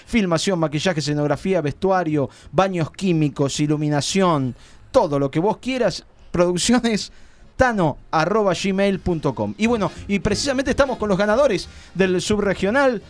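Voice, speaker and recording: An Argentine man hosting an informal live radio interview, talking in a halting, conversational way and repeating himself. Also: sits high, lively intonation. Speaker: radio host interviewing theatre guests.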